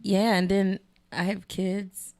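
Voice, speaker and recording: A woman's voice: medium pitch, flat delivery, slow, a little mellow. A woman co-hosting a podcast, chatting casually in a playful, candid way. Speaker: podcast co-host. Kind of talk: casual chat.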